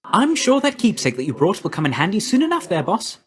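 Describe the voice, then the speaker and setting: A man's voice: sits high, huge pitch swings, a little fast, fairly bright tone, full character voice. A man voicing a breezy, chummy young messenger god in a video game, with a slangy, glib, friendly manner. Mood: chummy, confiding.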